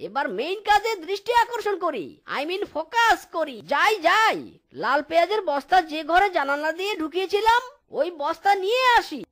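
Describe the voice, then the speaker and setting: A woman's voice: extremely high pitch, animated, moderate pace. Woman doing a cheeky male cartoon fox. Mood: scheming. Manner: playful, singsong, mixes in English words. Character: sly male fox thief, cheeky and flirtatious. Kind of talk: cartoon voice acting. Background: loud cartoon music.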